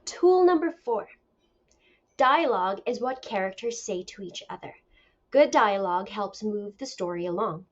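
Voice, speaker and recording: A woman teacher reading a picture book aloud as a lesson, with deliberate, expressive storytelling. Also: medium pitch. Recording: dull lo-fi recording.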